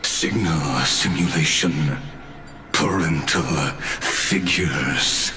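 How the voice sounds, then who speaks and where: An actor voicing a cold, calculating, machine-like villain in a video game. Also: male voice, extremely low pitch, very slow, background noise, exaggerated voice. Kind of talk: dramatic game voice acting.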